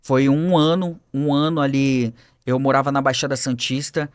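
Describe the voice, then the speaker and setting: A man telling his life story as a podcast guest, animated and acting out the people he quotes, on a studio mic. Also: mid-range voice, moderate pace, clear, resonant tone, no echo. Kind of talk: personal storytelling in a podcast interview.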